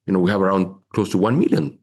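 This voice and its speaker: A middle-aged man with a very low voice answers interview questions. He explains at a measured pace, often stopping to say "you know" as he lays out his points. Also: expressive, creaky, darkish tone. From Chile. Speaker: investment officer guest.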